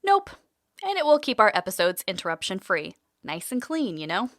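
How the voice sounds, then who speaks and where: Curious, chatty mid-pitched podcast host. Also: female voice, very animated, moderate pace, a bit bright, no room sound, studio quality. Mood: playful, candid. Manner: casual, conversational, wry. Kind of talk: scripted first-person narration and conversational dialogue.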